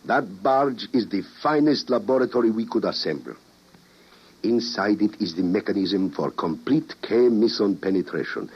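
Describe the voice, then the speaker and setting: An actor playing a grave, persuasive president with a solemn, deliberate delivery in an old radio drama. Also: male voice, lowish voice, husky, heavily acted. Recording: muffled vintage radio drama recording.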